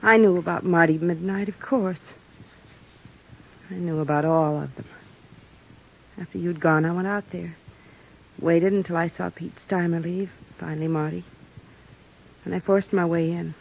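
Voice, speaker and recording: Casting: a tense, evasive murderess in an old radio play, gravelly tone, lo-fi sound. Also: female voice, on the low side, fairly even intonation, moderate pace, long pauses. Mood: confessing. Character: cornered young woman killer, defiant then pleading. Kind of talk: 1950s radio drama acting. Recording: muffled 1950s radio transcription.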